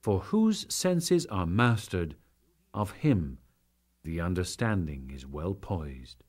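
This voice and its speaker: A man giving a dramatic, solemn and measured reading of scripture as an audiobook narrator. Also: low voice, big pitch swings, darkish tone. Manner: solemn, measured, theatrical delivery with archaic diction.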